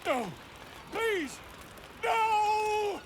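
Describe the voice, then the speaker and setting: A man playing a flamboyant, self-important, cowardly bard in a video game, with a florid, excitable, theatrical manner. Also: extremely high pitch, drawn-out words, a little hiss or hum, heavily acted. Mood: panicked pleading. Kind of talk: dramatic video game acting.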